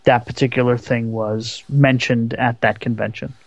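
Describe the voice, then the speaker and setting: A man co-hosting a casual radio talk show, speaking haltingly with many fillers and restarts. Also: medium pitch, flat delivery, dry recording. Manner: halting, stuttery, many ums and you-knows.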